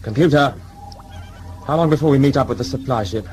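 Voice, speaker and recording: A man's voice: mid-range voice, fairly quick, darkish tone, a little hiss or hum, close to an everyday voice. Man playing a pompous, grumbling old British governor. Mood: brisk, idle. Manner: pompous, muttering, querulous. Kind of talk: audio drama acting.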